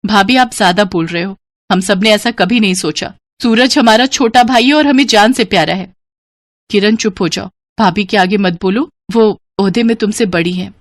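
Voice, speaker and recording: A woman's voice: medium pitch, normal speed, no room sound, clean studio sound, natural voice. A woman voicing devoted, protective village sisters in a moral cartoon, speaking in an earnest, emotional way. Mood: gentle, earnest. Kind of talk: Hindi moral cartoon dubbing.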